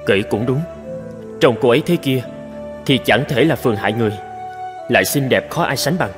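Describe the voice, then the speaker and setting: Man as a kind, lonely young scholar, medium-pitched. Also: expressive, a little fast, music in the background, lightly acted. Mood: pleased, welcoming. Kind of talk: cartoon fairy-tale dub.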